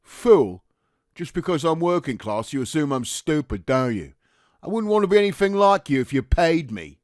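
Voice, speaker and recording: A man's voice: mid-range voice, lively intonation, moderate pace, no echo, clean studio sound, close to an everyday voice. Resentful young Cockney private, played by a man. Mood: resentful, mocking. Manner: bitter, mocking, blunt. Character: resentful working-class Cockney private, aged nineteen. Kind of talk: audio drama acting.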